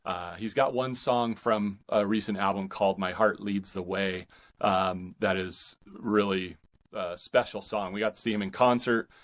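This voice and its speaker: An American man in his forties chatting casually as an interview guest, thinking aloud in a halting, enthusiastic way. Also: fairly low pitch, steady tone, fairly bright tone. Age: 40s.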